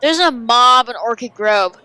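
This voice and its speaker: A woman's voice: a little high, expressive, measured pace, bright, forceful tone, smooth. A woman playing an exasperated, slangy small-town teenager in a radio drama. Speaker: actor in an audio drama.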